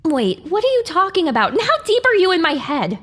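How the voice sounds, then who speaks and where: A woman's voice: extremely high pitch, sing-song, a little fast, a bit bright, full character voice. A woman voicing a confused, questioning young pony mare, the heroine of an audio drama. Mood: alarmed. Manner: questioning, bewildered.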